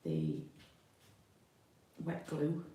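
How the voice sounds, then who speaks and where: Low, roomy-sounding Yorkshire woman, chatting casually. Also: sing-song, very slow. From Yorkshire, England. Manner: chatty, informal, thinking aloud while working. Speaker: card-making demonstrator, host. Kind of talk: casual live craft tutorial. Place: indoor room with a window. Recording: roomy, distant mic, faint noise, clicks.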